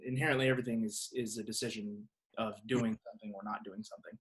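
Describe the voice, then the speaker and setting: A man hosting a podcast, talking casually and reflectively in thoughtful, halting phrases. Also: fairly low pitch, fairly even intonation, low-quality recording. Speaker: podcast host. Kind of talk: casual reflective interview talk.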